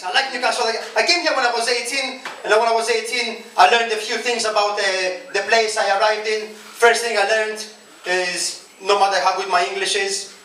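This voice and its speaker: Greek-accented comedian in an echoey club. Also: male voice, extremely high pitch, noisy background. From Greece. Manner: conversational, self-mocking, chatty asides. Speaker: stand-up comedian. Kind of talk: stand-up comedy set. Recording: echoey club from far off, loud ambience. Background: audience laughter.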